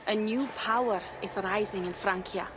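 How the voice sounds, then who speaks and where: A woman's voice: medium pitch, moderate pace, a bit bright, soft background music, close to an everyday voice, poor audio. A woman playing a bold, persuasive Norse warrior in a dramatic video game, speaking in formal, old-fashioned phrases. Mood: earnest, grave. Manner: declarative, archaic formal phrasing.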